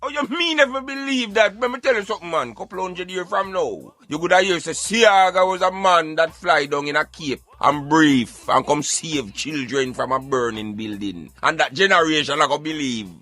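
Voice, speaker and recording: A man's voice: on the high side, normal speed, few pauses, sharp tone. A man with a Jamaican accent hosting a radio show, giving emphatic, colloquial satirical commentary in a conversational way. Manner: emphatic, colloquial, addressing listener as brethren.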